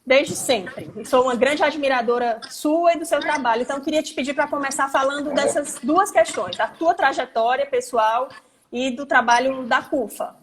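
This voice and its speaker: A woman's voice: high voice, moderate pace. Chatty woman with a Ceará accent. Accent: Northeastern Brazilian (Ceará). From Fortaleza, Brazil. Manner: chatty, warm introductions with many asides. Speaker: host, labor prosecutor. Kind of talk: casual live-stream chat. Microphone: phone.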